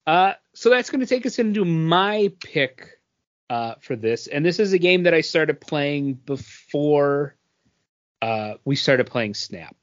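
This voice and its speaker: Slow-talking easygoing podcast man. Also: fairly high pitch, big pitch swings, no echo. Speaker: podcast co-host. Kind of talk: casual chat. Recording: dry, dull lo-fi podcast audio.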